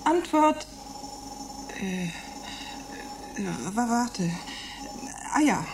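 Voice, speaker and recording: A woman's voice: a little high, animated, drawn-out words, noisy background. An actress playing a bored, rebellious older woman in a radio play, grumbling and reciting with mocking scorn. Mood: scornful reciting.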